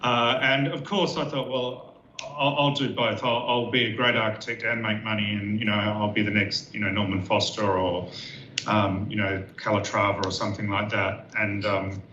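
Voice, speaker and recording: A man's voice: lowish voice, normal speed. A man, a guest architect talking casually with lots of fillers and repeats. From Western Australia. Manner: relaxed, many fillers and repeats. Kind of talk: casual interview talk. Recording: roomy, dull lo-fi sound with faint noise.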